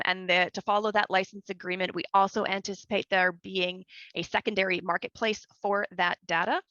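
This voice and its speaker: A woman's voice: mid-range voice, bright, forceful tone, no room sound, lo-fi sound. A woman from Canada with a Canadian accent, answering a conference question with passion over a remote call. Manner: passionate, emphatic, repeats words when starting. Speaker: guest speaker. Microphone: remote video call.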